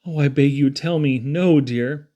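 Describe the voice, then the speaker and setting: A man's voice: fairly high pitch, measured pace, mellow, lightly acted. A man voicing a lovesick turtle from a children's poem, wooing in a tender, imploring, flowery way. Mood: heartbroken pleading. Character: lovesick, pleading male turtle suitor.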